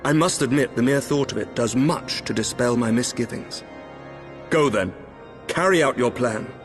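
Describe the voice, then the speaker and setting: An actor playing a noble, gracious lord commander in a fantasy game, speaking courtly archaic English with deliberate authority. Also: male voice, mid-range voice, soft background music, lightly acted. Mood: thoughtful, resolved.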